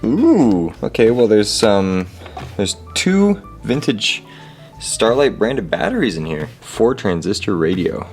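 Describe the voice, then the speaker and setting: A man with a low-pitched voice hosts a casual hands-on repair vlog in a garage. He is wry and jokey, often addressing the objects in front of him as he goes. Music plays clearly behind his commentary. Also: sing-song, takes it slowly, darkish tone. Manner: jokey, sarcastic, talks to the objects. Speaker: host of a hobby repair video. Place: home garage.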